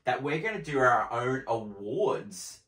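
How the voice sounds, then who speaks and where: A man's voice: medium pitch, big pitch swings, unhurried, fairly bright tone. A man hosting a casual film review show, chatting and bantering with a co-host on a live stream. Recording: echoey room.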